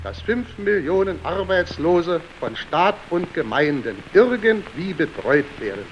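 An actor playing a public speaker declaiming in a radio drama. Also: male voice, fairly high pitch, sing-song, a little slow, slight background noise. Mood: emphatic. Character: declaiming male speaker on unemployment. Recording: dull, music-covered sound.

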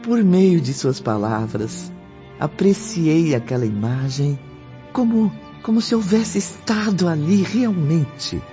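A man's voice: on the high side, lively intonation, slow, dark tone. An actor playing a reflective male narrator recalling the afterlife in a radio drama, with a contemplative, lyrical delivery. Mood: contemplative, admiring. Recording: muffled recording with faint music.

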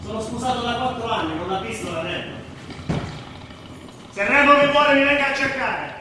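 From far off in a very echoey, noisy hall comes a high male voice, an actor playing an impatient man in charge. He gives curt orders and argues theatrically, as in a stage play. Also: expressive, brisk. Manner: curt, commanding, theatrical delivery. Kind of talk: Italian stage drama dialogue. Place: large echoing indoor space, distant microphone.

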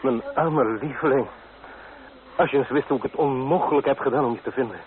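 Ardent young lover played by an actor, tinny sound. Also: male voice, mid-range voice, a little fast, natural voice. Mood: relieved, tender. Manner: ardent, romantic. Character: ardent, devoted young architect in love. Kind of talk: radio drama acting. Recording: tinny, noisy old radio recording.

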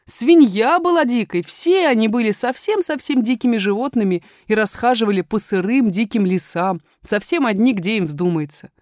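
A woman's voice: medium pitch, a little mellow, no echo. Woman telling a kids story, tender and emphatic, really dry clean room sound. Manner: addresses a small child tenderly, emphatic repetition. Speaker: audiobook narrator. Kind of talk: expressive storytelling of a children's tale.

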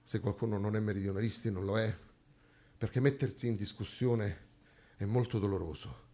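A man's voice: lowish voice, steady tone, normal speed, warm tone. A man acting on stage, delivering a dramatic monologue in an emphatic, declamatory way with rhetorical repetition. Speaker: stage actor performing a theatre monologue. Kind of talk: dramatic theatrical monologue, rhetorical repeated lines.

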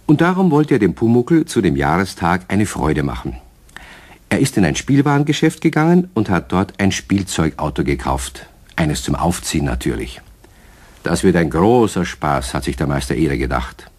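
A man's voice: low-pitched, sing-song, normal speed, darkish tone, slightly rough. A man narrating a scripted children's story, addressing young listeners directly as a storyteller.

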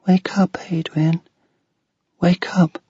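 A man's voice: lively intonation, slow, gravelly, slightly rough, dry recording, exaggerated voice. A man doing a pleading little ghost girl. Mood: whispering. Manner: high, pleading and tearful. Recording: dull lo-fi recording.

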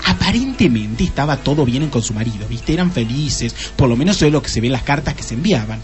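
A man's voice: medium pitch, sing-song, fast talker, soft background music, close to an everyday voice. A man voicing a gossipy, brash, chatty female secretary with an Argentine accent in a comic radio play. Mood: chatty, catty. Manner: gossipy, slangy, melodramatic chatter.

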